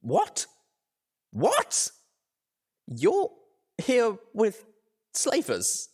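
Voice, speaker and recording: A man's voice: extremely high pitch, wildly swooping pitch, very slow, darkish tone, breathy edge, voice pushed up, full character voice. A man voicing an impassioned young female pony in an audiobook-style story, exclaiming and pleading with strong emotion. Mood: shocked, outraged.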